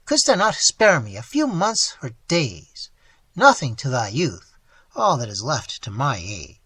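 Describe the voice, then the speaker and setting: A man's voice: very animated, measured pace, fairly bright tone, slightly rough, no room sound, exaggerated voice. A man voicing a frail old miser who cries out in anguish and pleads. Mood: reproachful. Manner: shrill, wailing cries.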